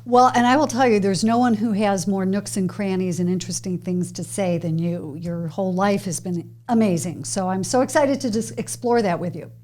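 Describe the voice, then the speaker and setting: A woman's voice: mid-range voice, moderate pace, few pauses, darkish tone. A woman journalist interviewing the host on a podcast, asking questions in an enthusiastic, encouraging way.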